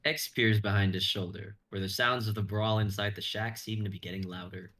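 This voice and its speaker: A man's voice: lowish voice, fairly even intonation, brisk, pushed and bright. A young man reading scripted narration and stage directions as narrator. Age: young adult.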